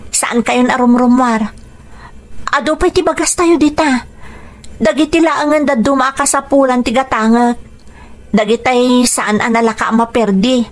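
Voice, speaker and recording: An actress playing a young daughter who is caring and worried about her parents, earnest and expressive in an Ilocano radio drama. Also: sits high, a little slow.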